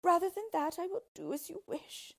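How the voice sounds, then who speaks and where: A woman's voice: extremely high pitch, moderate pace, pitched above the performer's own voice. A woman voicing a distraught young fairy-tale princess, proud and tender, in a wailing, melodramatic manner. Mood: pleading.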